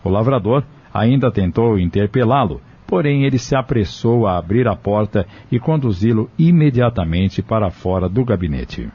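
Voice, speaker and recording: A man with a husky, mellow voice narrating a scripted audio drama. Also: on the low side, expressive, moderate pace, few pauses. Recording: dull, lo-fi recording.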